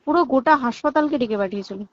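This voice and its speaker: High-pitched Bengali woman on muffled call. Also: lively intonation, racing. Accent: Bengali from West Bengal. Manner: emphatic and repetitive, code-mixes English words. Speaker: hospital staff member giving an account. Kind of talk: informal phone conversation, giving an account. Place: phone call. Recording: muffled lo-fi recorded phone call.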